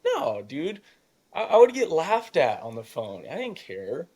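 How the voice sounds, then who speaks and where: A man's voice: a little high, huge pitch swings, unhurried, a little mellow, slightly rough. A man of eighteen talking casually and emphatically as a podcast guest, with a youthful voice that can crack and a habit of acting out imagined dialogue. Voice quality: youthful voice that still cracks at times. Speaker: podcast guest, young real estate investor. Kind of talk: casual conversational motivational storytelling.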